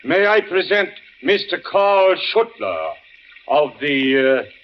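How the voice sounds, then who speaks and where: An actor playing a courtly, fussy old host in a radio mystery, formal and over-polite. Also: male voice, fairly high pitch, sing-song, slow. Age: older. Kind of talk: 1940s-50s radio drama acting. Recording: muffled, faintly noisy old radio recording.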